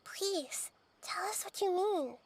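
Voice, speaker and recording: Very high, childlike little companion girl. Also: expressive, takes it slowly, full character voice. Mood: curious. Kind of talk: video game voice acting. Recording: music behind the voice.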